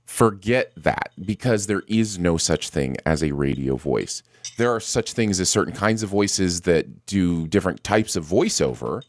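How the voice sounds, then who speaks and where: A man with a low voice co-hosts a casual podcast. His pitch moves around a lot as he talks. He rambles a bit, repeating words and adding many "uh"s, on a dry, close recording. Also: lively intonation, a little slow, no room sound. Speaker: podcast and stream co-host. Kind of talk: casual chat.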